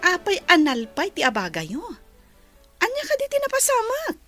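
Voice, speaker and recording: An actress with an Ilocano accent playing a nosy, excitable woman servant who gossips. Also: very high voice, normal speed, music in the background, full character voice. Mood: gossipy. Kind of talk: radio drama acting.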